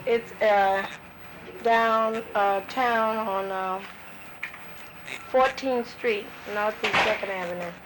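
A woman answers an interviewer with plenty of pauses and fillers. Her voice is close and plain, but a steady hiss of old tape runs beneath it. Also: medium pitch. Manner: hesitant, many fillers. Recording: noisy old field tape.

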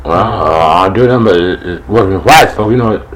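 Halting low-voiced man in his sixties. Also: a little hiss or hum. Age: 60s. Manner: halting, hesitant short answers. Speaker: interviewee. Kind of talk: answering interview questions.

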